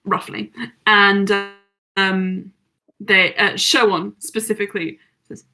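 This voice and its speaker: A woman's voice: mid-range voice, level tone, slow, bright, forceful tone, rough recording. A young woman narrating a tabletop game as game master, chatty, with many fillers.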